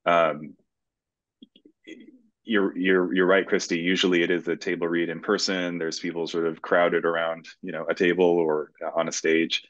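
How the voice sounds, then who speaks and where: A man's voice: sits low, flat delivery, moderate pace, fairly bright tone. A man of forty talking conversationally as an interviewee over a video call. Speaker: screenwriter being interviewed. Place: indoors in a plain room, on a video call. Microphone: computer video-call microphone. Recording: dull, close, lo-fi video-call audio.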